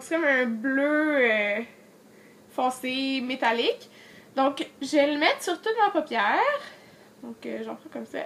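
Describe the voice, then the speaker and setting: A woman's voice: high voice, creaky, a little hiss or hum. A young woman with a Quebec French accent, chatting casually through a makeup tutorial while she has a cold, with lots of fillers. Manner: chatty, many fillers, addresses viewers directly. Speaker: beauty vlogger.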